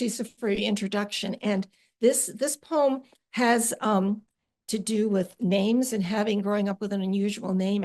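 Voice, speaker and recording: Middle-aged woman poet, halting chat then slow careful reading, plain voice. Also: mid-range voice, vocal fry, a little mellow. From Ukrainian-American family. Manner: hesitant with fillers between reading, slow deliberate reading of poems. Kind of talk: poetry reading and conversation.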